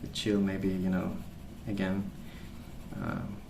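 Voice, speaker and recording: A man speaking softly as an ASMR host, rambling through stories in a hesitant, pausing, unhurried way. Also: low voice, monotone, gravelly, noisy background. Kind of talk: soft-spoken ASMR rambling.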